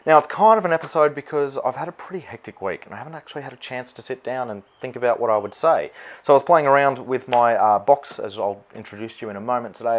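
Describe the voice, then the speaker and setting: A man with an Australian accent giving an unscripted, informal product explainer in a conversational way. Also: mid-range voice. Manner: informal, conversational, mildly sweary. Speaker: wedding celebrant presenting his gear.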